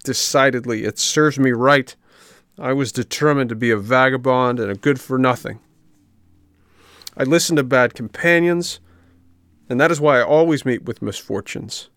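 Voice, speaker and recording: A man's voice: fairly low pitch, takes it slowly, creaky, a bit bright, dry recording, clean studio sound, full character voice. A man voicing a tearful, remorseful boy puppet in a storybook, with a plaintive, pleading delivery. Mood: self-pitying remorse.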